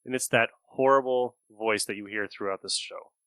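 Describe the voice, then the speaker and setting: A man's voice: lowish voice, moderate pace, sharp tone, clear, resonant tone, no echo. A man hosting a podcast in casual review chat, blunt and free with swearing. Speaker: podcast host.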